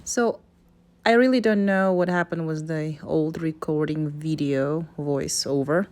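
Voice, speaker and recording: A woman's voice: low voice, a little slow, darkish tone. A woman from Kazakhstan with a Kazakh accent gives a casual, hesitant, unscripted cooking voice-over, often stopping and restarting. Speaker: home cook presenting a recipe.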